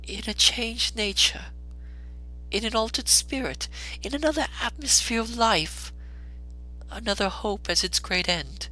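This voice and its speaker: A woman playing a gentle, steadfast, sorrowful young woman in a dramatic storybook reading, measured and solemn. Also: fairly high pitch, rough recording. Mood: sad, steady.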